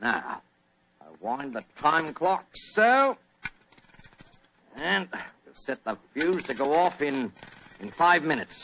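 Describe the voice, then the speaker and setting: A sneering anarchist villain for a radio thriller. His voice sits fairly high and leaps about with mocking menace. The recording is dull and old. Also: big pitch swings, takes it slowly, pauses often, a little hiss or hum, exaggerated voice. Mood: gloating. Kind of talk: old radio mystery drama acting. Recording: muffled, band-limited old radio recording.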